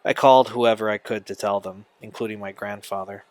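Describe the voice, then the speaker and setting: Low-pitched, earnest, troubled young man, acted by a man. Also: steady tone, fairly quick, natural voice. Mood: bitter, anguished. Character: Steve, troubled young man recalling a painful family past. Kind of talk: audio drama acting.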